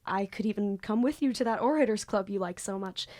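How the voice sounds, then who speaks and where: A woman's voice: mid-range voice, brisk, a little mellow, lightly acted. Melodramatic young student, played by a woman, roomy echo. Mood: flustered, nervous. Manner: grandiose theatrical diction. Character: flustered lovestruck student, melodramatic and bookish. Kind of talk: student audio drama acting with soliloquies.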